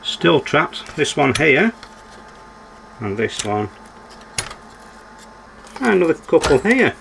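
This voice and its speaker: A man's voice: fairly high pitch, sing-song, slow, gravelly, fairly bright tone, slight background noise, rough recording. A man giving unscripted running commentary as he works on a repair, musing aloud and putting questions to himself with dry, understated humour. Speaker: electronics repairer presenting a repair video.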